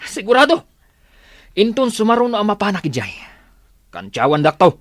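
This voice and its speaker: An actor playing a defensive, blustering young boyfriend with an Ilocano accent in a radio drama. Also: male voice, high-pitched, huge pitch swings, normal speed, no echo, studio quality. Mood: indignant, scolding.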